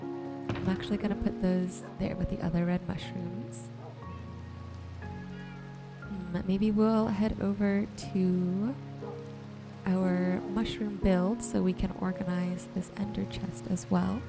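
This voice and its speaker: Slow-talking woman, ASMR gaming commentary over music. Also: mid-range voice, little pitch movement. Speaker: gaming streamer and host. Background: music and rain sounds.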